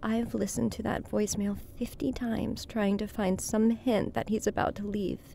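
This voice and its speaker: A young woman with a gravelly fry and a dark, mellow tone acted by a woman. She is guarded and brooding, understated as in a horror audio play. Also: mid-range voice, little pitch movement, moderate pace, slight background noise, natural voice. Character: guarded, brooding daughter of a missing father. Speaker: voice actor. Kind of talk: naturalistic horror audio drama acting.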